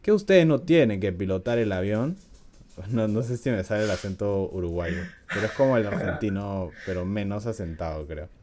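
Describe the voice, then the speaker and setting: Low, mellow-voiced man telling a story. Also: lively intonation, normal speed. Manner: chatty, laughs often, frequent "¿no?" tags. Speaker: podcast host. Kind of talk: casual storytelling podcast.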